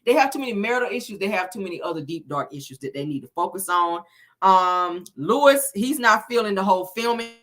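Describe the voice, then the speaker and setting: Bright-voiced woman giving emphatic review commentary. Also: mid-range voice. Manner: emphatic, repeats words for effect. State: slightly scratchy, tiring voice. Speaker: host of a reality TV review channel. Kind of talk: opinionated casual review commentary.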